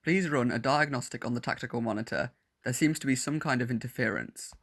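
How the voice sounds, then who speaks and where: A man's voice: medium pitch, brisk, fairly bright tone. Man acting a cold, formal alien war android. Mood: guarded, demanding. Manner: formal, clipped, clinical speech. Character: cold menacing alien war android posing as teenage boy. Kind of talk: audio drama acting.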